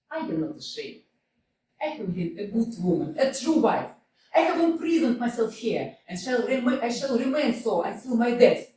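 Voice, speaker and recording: An actress playing a proud, grieving young widow in a staged reading, full of theatrical declarations. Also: female voice, fairly high pitch, darkish tone, distant mic, a little hiss or hum. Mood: defiant. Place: small community theater stage. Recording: echoey stage picked up from afar.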